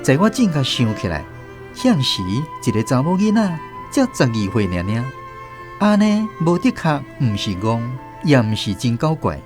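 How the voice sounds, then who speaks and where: A man with a Taiwanese accent reading a scripted story as an expressive narrator. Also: mid-range voice, moderate pace, faint music behind. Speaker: host and narrator.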